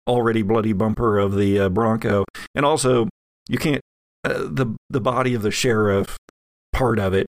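A man running a tabletop horror game as game master, improvising the narration haltingly and thinking aloud. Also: mid-range voice, gravelly, dry recording.

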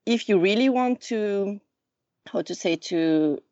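A woman in her thirties from Lausanne with a Swiss French accent, a podcast guest who talks casually and often pauses to search for words. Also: mid-range voice, expressive, dark tone, no echo. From Lausanne, Switzerland. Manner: halting, searching for words, many fillers. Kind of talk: casual conversation. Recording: dull, dry remote recording.

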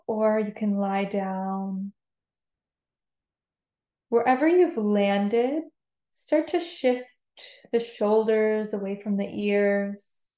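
Slow, mellow woman guiding meditation. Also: mid-range voice. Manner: gentle, soothing instruction. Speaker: meditation guide. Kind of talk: guided meditation. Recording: roomy, echoing sound.

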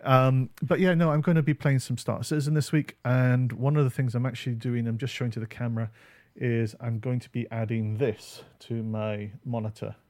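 A man's voice: mid-range voice, steady tone, a little fast, darkish tone, no room sound. A man co-hosting a podcast, chatting casually about games, with many ums and uhs. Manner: rambling, lots of ums and uhs. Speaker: podcast co-host. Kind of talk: casual gaming chat. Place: home setup on camera.

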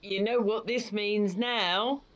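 A woman's voice: mid-range voice, fairly even intonation, slow, muffled recording, lightly acted. A woman acting a middle-aged British mother in an audio play, loving and accepting but easily exasperated, with an emphatic motherly manner. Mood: fond.

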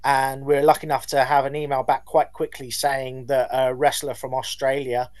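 A man, a band's lead singer, answering interview questions in a measured, polite way with some hesitations. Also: mid-range voice, little pitch movement. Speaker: band lead singer, guest.

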